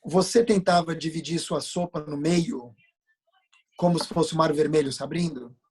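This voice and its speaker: A man with a husky voice that sits a little high. He hosts an informal interview over a video call, joking and needling his guest. He talks loosely and readily interrupts. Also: normal speed, a little mellow.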